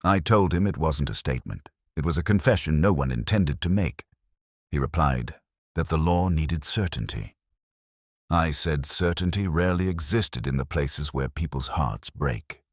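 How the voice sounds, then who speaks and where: A man narrating a first-person literary detective story in a measured, reflective, melancholic way. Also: a bass voice, animated, no room sound, studio quality.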